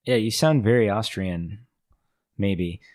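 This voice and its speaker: A man's voice: fairly low pitch, slow, a little mellow, no echo, studio quality. A man co-hosting a casual podcast chat, rambling and hesitant, laughing often. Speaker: podcast co-host.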